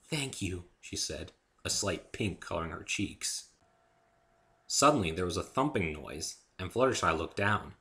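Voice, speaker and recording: Bright-voiced man narrating with lively expression. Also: low-pitched, measured pace. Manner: expressive storytelling. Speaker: amateur narrator and author. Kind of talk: dramatic first-person story narration with acted dialogue.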